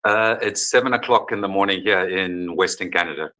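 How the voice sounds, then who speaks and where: A man's voice: low voice, brisk, bright, forceful tone. A middle-aged man from South Africa, a football coach, answering interview questions in an earnest, explanatory way. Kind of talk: conversational interview.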